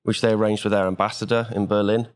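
A man's voice: lowish voice, little pitch movement, fast talker, clean tone. A man from Wales chatting casually as an interview guest. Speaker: podcast guest, Bitcoin developer.

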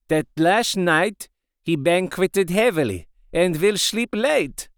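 A man's voice: sits high, lively intonation, clear, resonant tone, no echo, clean studio sound, voice pushed up, full character voice. A man doing a grave elderly professor. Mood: wry. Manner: solemn, formal, slow and deliberate. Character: wise elderly male professor, kindly and grave.

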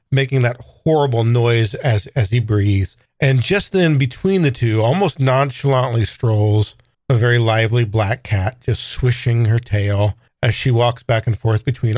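A man with a medium-pitched voice narrates a roleplaying scene. He tells it descriptively and conversationally, in a dry recording without room echo. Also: a little mellow. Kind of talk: improvised storytelling narration in a tabletop game.

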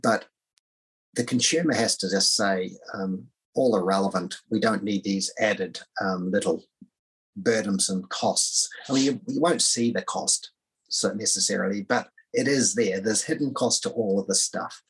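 A man co-hosting a talk show, giving blunt, dismissive opinions in a halting way with many fillers. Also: fairly low pitch, fairly bright tone, husky, low-quality recording. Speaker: co-host.

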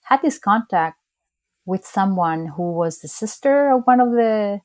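A woman's voice: medium pitch, lively intonation, measured pace. A woman from Chile with a strong Chilean Spanish accent, Spanish being her first language, telling stories in a chatty, casual conversation. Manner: chatty storyteller, restarts and laughs. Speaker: interviewee.

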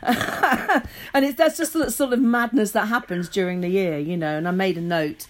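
A middle-aged British woman talking casually and in an animated way as an interview guest, a theatre writer and director. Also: mid-range voice, normal speed.

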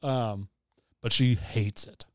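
A soft-spoken man co-hosting a casual podcast chat, laid-back with dry humor. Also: medium pitch, steady tone, slow, dry recording. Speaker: podcast co-host. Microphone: studio mic.

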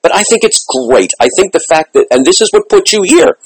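A man's voice: high-pitched, very animated, brisk, breathy edge, no room sound, rough recording. A man hosting a radio interview, chatty and enthusiastic, often restarting his phrases. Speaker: interview host.

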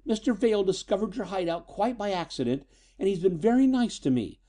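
A man voicing a frightened, high-strung but defiant young woman in a storybook reading, nervous and emotional. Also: very animated, moderate pace, warm tone, slightly rough. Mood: angry, defiant.

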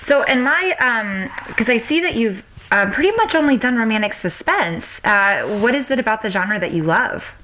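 A woman hosting a podcast, asking an author short questions and encouraging her with quick agreements. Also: a little high, animated, fairly bright tone, rough recording. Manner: brief prompts and encouraging backchannels. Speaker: podcast host. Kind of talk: interviewing a guest.

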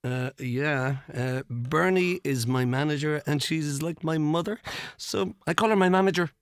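An actor playing a dim, sincere small-time singer-songwriter as an interview guest in a comic radio play, halting and laid-back. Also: male voice, fairly high pitch, clear, resonant tone, dry recording, clean studio sound, lightly acted.

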